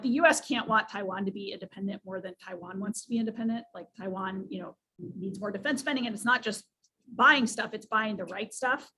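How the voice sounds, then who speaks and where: A woman's voice: medium pitch, bright, forceful tone, slightly rough, low-quality recording. A woman answering questions at an academic seminar, talking conversationally and filling her sentences with "you know" and "like". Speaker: seminar speaker.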